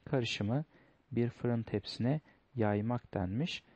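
A man's voice: mid-range voice, slow pace, a little mellow, breathy edge, dry recording. A man teaching a lesson, going through test questions step by step, reading each line in English and then translating it. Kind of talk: explaining test answers.

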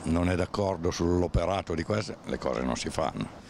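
A man's voice: low voice, expressive, normal speed, darkish tone. A man, answering a street interview in a weary, skeptical, hesitant way. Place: sunny street outdoors. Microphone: handheld interviewer mic. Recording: street noise behind.

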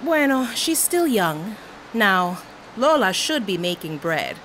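A woman's voice: mid-range voice, sing-song, takes it slowly, a bit bright, low-quality recording. A woman playing a stern, nagging middle-aged mother in an audio drama. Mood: stern, reproachful. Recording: faint ambient background noise.

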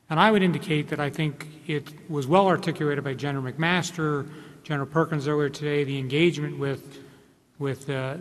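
A man in his forties speaks at a conference panel table into a table mic, his voice set a little higher than most men's. He explains earnestly, restarting phrases as he goes. A long hall reverb trails behind his words. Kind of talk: panel discussion remarks. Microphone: table microphone.

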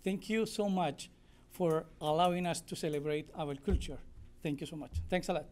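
A man's voice: on the high side, a little mellow. A man born in Spain and raised in Colombia, a native Spanish speaker, gives an earnest, heartfelt thank-you speech at a podium microphone in a council meeting room. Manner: earnest, heartfelt, slightly halting. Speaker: community member accepting a proclamation.

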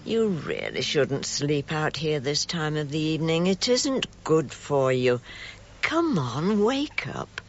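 Concerned companion, coaxing and chiding, very animated pitch, unhurried, radio drama. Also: full character voice. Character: concerned companion rousing an elderly sleeper. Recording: slightly dull radio recording, faint music.

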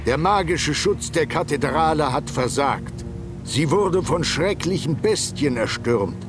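Stately older nobleman, higher-pitched, lively intonation, formal game cutscene delivery over music. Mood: grave, courteous. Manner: formal, measured, ceremonious. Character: stately, formal older count, guardian of a relic. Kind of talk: video game cutscene acting.

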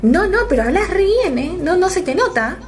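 A woman's voice: very high-pitched, big pitch swings, normal speed. A woman from Argentina with an Argentine accent telling an anecdote and acting out the voices in it. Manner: acts out voices while telling a story. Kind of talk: anecdote told to camera.

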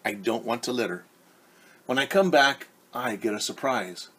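A man in his forties with a husky voice reads a picture book to young children. He is expressive and explains things in simple words, putting questions to his listeners. He is recorded at home on a camera about an arm's length away. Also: medium pitch, normal speed. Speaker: teacher reading a story to young children. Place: living room at home, indoors. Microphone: device camera at about arm's length.

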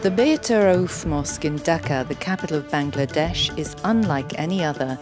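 A woman narrating a documentary in a scripted, even, informative voice-over. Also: low voice, normal speed. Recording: voice-over with music.

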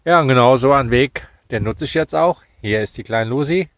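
A man in his fifties casually vlogging while out on a walk, chatty and relaxed with many fillers, filmed on a phone held at arm's length. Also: medium pitch, a little fast, dry recording. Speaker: caravan vlogger. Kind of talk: casual vlog narration while walking. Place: outdoors on a foggy field path.

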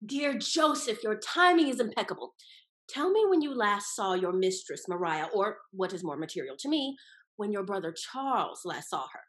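Woman playing a haughty, scheming society lady, swooping pitch. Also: very animated, natural voice. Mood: scheming, composed. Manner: arch, theatrical, drawn-out emphasis. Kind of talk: period stage comedy acting. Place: indoor room, performing on video call. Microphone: video call.

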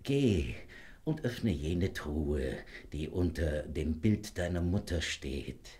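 An actor playing a frail old dying father, gentle, solemn and deliberate, in a fairy-tale audio drama. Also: extremely low pitch, lively intonation, full character voice. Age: older.

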